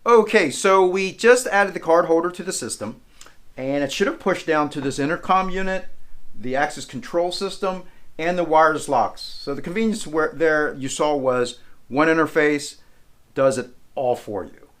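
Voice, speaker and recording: A man's voice: on the high side, moderate pace, fairly bright tone. A man in his forties giving an informal product demonstration, explaining step by step in a plain-spoken way. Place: small indoor office room, standing behind a demo table.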